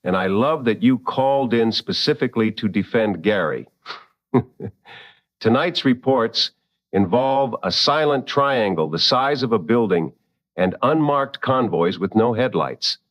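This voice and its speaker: A man playing a calm, wry late-night radio host with measured dry humor in a radio drama, slightly muffled. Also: medium pitch, close to an everyday voice.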